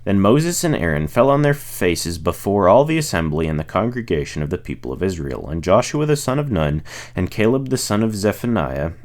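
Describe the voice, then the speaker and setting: A man reading scripture aloud as expressive narration, delivering the speeches in a solemn, declamatory way. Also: deep voice, brisk. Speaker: reader of a Bible audiobook series.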